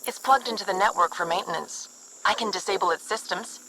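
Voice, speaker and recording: A woman's voice: medium pitch, a little fast, noisy background, close to an everyday voice. A woman voicing an eager young alien tech helper, uneasy with violence, in a video game. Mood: focused, helpful.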